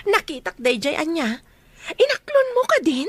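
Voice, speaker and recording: An actress playing a nosy, excitable young best friend in a radio drama, teasing, with an Ilocano accent. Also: female voice, high voice, moderate pace, no echo. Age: young adult.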